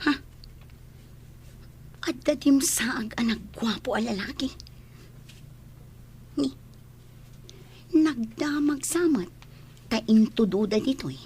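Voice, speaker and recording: A woman acting as a pushy, vain and flirtatious elder sister in a radio comedy drama, excitable and wheedling. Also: high voice, slow, long pauses, slightly rough, full character voice. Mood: excited, vain.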